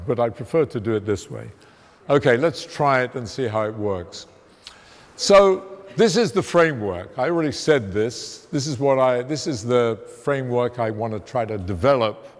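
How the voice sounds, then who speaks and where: Man lecturing loudly in a reverberant hall. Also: mid-range voice. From immigrant to the United States. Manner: emphatic, conversational, fairly loud voice. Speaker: guest lecturer, theoretical physicist. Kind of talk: public science lecture. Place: museum lecture hall, walking away from the podium. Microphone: room mic, speaking without a handheld mic.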